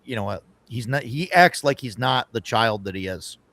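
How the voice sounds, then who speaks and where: Dry-sounding man in his forties, mid pitch. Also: expressive, normal speed, fairly bright tone, dry recording. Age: 40s. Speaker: panel participant. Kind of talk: casual chat. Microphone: close microphone.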